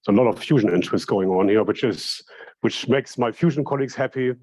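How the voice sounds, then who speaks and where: Halting, husky-voiced man welcoming students. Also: medium pitch, darkish tone. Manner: friendly, halting, many restarts. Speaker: program director welcoming students. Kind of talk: casual welcome talk.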